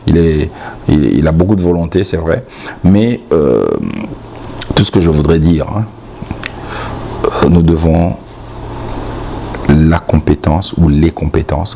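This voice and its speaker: A Congolese-accented man speaks in a very low, gravelly voice with a dark, mellow tone. He is blunt and emphatic as an interviewee, hammering his points by repetition. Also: fairly even intonation, unhurried, pauses often, a little hiss or hum. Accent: Congolese French. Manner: blunt, outspoken, emphatic, repeats points for stress. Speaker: sports commentator being interviewed. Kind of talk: opinionated interview talk.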